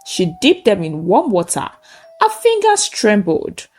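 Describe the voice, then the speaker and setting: A woman narrating a scripted folktale in short, measured, dramatic phrases. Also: medium pitch, wildly swooping pitch, a little mellow. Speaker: storyteller.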